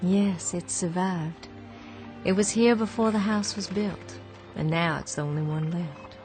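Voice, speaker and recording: A woman playing a gracious, quiet young woman on the porch of a war-ruined house, in a radio drama. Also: lowish voice, a little slow, faint music behind, close to an everyday voice. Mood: gracious, mournful. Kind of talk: 1960s-style radio drama acting.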